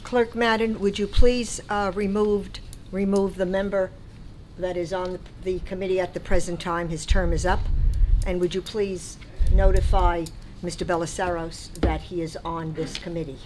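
A woman's voice: fairly low pitch, little pitch movement, normal speed, background noise. Woman council member making a formal motion. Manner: formal, procedural. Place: council chamber, large room.

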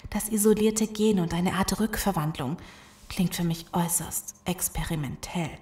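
A woman's voice: fairly low pitch, moderate pace, darkish tone, natural voice. A woman playing an earnest scientist in a German audio drama. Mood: resigned. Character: earnest scientist woman explaining a zombie virus. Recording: echoey room sound.

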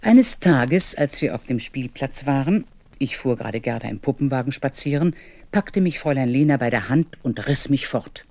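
A woman's voice: extremely low pitch, expressive, moderate pace, dark tone, slight background noise. An actress playing a grown woman who narrates her childhood memories in a children's radio play. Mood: reminiscing.

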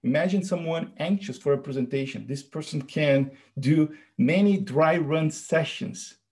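A man with a fairly high voice gives an earnest tech talk. He explains step by step, pausing on fillers as he goes. He sounds middle-aged and eager to teach. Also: darkish tone. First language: Portuguese. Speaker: presenter.

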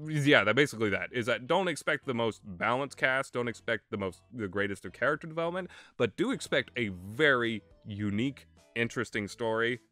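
A man giving casual, rambling commentary as a reviewer, speaking haltingly and emphatically and often repeating himself. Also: fairly low pitch, bright, forceful tone. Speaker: visual novel reviewer.

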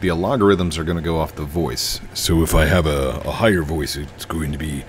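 A man's voice: rumbling low, steady tone, moderate pace, slight background noise. A middle-aged American man giving casual, playful gameplay commentary, rambling to himself and his viewers. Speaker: gaming video host. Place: indoors at a desk, webcam stream setup.

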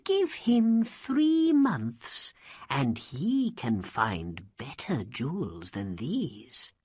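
A woman's voice: rumbling low, sing-song, very slow, slightly rough, no room sound, studio quality, voice pushed up, exaggerated voice. Woman voicing a sly scheming vizier. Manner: hushed, persuasive.

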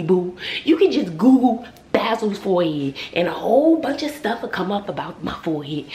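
A woman's voice: mid-range voice, a little mellow. A middle-aged American woman chatting casually to camera in a sassy, emphatic, comedic way, repeating herself for effect. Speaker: vlogger. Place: indoors at home, mostly in a kitchen. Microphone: handheld or nearby camera at arm's length. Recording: echoey room with clear background noise.

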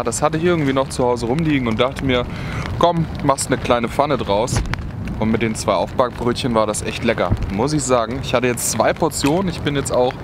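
Young adult male outdoor vlogger, relaxed chatty delivery, camera mic outdoors with clear wind noise. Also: medium pitch. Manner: relaxed, chatty, many "ja" fillers. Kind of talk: casual cooking vlog narration. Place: outdoors in a windy wood in rain. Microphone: camera mic at arm's length. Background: wind and rain.

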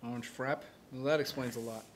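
A man's voice: mid-range voice, steady tone, a little fast, a little hiss or hum. A man in his forties co-hosting a beer show with casual, teasing banter. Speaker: beer review show co-host. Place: small indoor set with curtain backdrop, seated.

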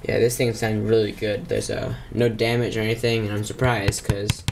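A man with a fairly low, gravelly voice talks casually and haltingly, like an unscripted hobby vlog. His speech has plenty of vocal fry and pauses. A faint layer of noise sits behind him on the camera microphone. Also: little pitch movement. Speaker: hobbyist vlogger showing vintage speakers. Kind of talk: casual, unscripted show-and-tell. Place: small indoor room at a workbench. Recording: noisy camera mic, audible background noise.

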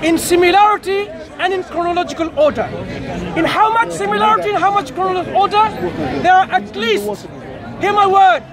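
A young man argues insistently in an outdoor park, repeating himself to hold the floor. His voice is very high, and a loud crowd surrounds him. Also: fairly quick. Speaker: street debater. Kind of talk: heated outdoor debate.

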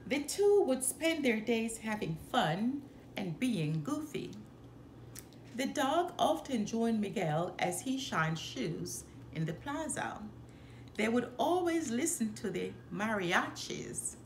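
Slow, lilting woman reading a storybook. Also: fairly high pitch. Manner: careful, expressive reading with deliberate phrasing. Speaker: storyteller, channel host. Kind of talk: children's storybook read-aloud. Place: indoor living room by a fireplace. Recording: roomy echo, some background noise.

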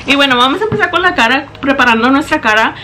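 A woman's voice: high voice, lively intonation, fast talker, sharp tone, a little hiss or hum. A young woman filming a makeup tutorial in her bedroom, talking into a camera in front of her and explaining each step in chatty Spanish with English words slipping in. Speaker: beauty vlogger. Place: small bedroom indoors. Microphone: camera in front at close range.

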